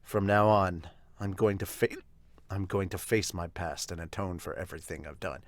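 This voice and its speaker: Guy doing a stern dad, deep and quiet, gruff, clipped lines. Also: moderate pace, no room sound. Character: stern hero father, gruff, middle-aged man.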